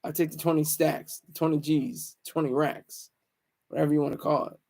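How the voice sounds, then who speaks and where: High-pitched man chatting casually on a livestream. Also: flat delivery, normal speed, darkish tone. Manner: rambling, repeats phrases for emphasis. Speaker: livestream host. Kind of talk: casual livestream chat with viewers.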